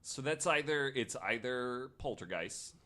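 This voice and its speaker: A man's voice: medium pitch, expressive, measured pace, bright, forceful tone. A man chatting casually as a podcast panelist, throwing in wry, joking and sweary remarks. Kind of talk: casual banter.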